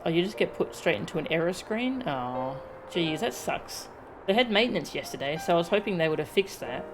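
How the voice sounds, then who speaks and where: A woman's voice: on the low side, big pitch swings, brisk, low-quality recording. A woman game streamer chatting casually with her viewers on a livestream. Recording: game music behind the voice. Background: video game music.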